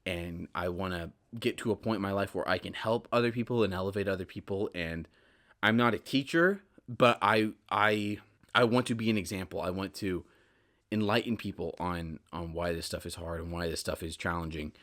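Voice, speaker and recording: A man's voice: low voice, moderate pace, a bit bright. Earnest man talking on his podcast. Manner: earnest, motivational, emphatic repetition. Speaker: podcast host. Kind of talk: casual reflective podcast monologue.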